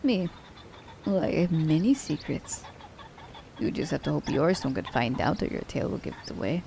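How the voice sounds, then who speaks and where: A woman acting a gravelly, teasing female assassin. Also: low voice, animated, warm tone, slight background noise. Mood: playful teasing. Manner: playful, teasing, unhurried. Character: teasing, worldly female assassin fond of her werewolf captive. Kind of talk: ASMR roleplay acting.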